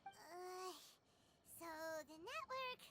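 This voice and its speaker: A woman voicing a tiny, childlike fairy companion in a video game, excitable and easily confused. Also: very high voice, very slow, very noisy, full character voice. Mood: confused, flustered. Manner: refers to self in third person, exclamatory. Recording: game music behind voice.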